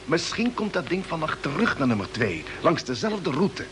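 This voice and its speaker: An actor playing a steady, resolute expedition commander in an old Dutch radio drama, explaining things patiently and firmly. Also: male voice, on the high side, moderate pace. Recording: noisy old radio recording with ambience.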